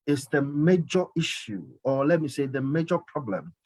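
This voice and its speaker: A man's voice: a little high, lively intonation, normal speed, a little mellow. A man hosting a live call-in radio show, talking emphatically and often repeating his phrases. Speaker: radio host.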